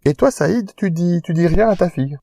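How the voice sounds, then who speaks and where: A man's voice: high voice, expressive, moderate pace, creaky, mellow, no echo, heavily acted. A man voicing an indignant, snobbish bourgeois mother in a fiction podcast, scolding dramatically. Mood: shocked, indignant.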